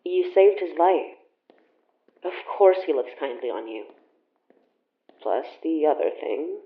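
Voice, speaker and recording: An actress playing a friendly young woman in an audio drama, talking in a casual, halting, conversational way. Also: sits low, lively intonation, full character voice. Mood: gently teasing. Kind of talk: naturalistic audio drama acting. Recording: roomy echo, dull lo-fi sound.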